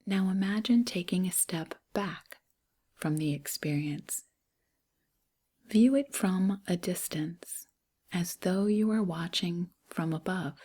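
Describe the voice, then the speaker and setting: Soft breathy lady doing guided meditation, almost whispering, really slow n gentle, kinda low voice. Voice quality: breathy, hushed, near-whisper. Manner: gentle, unhurried phrasing with pauses.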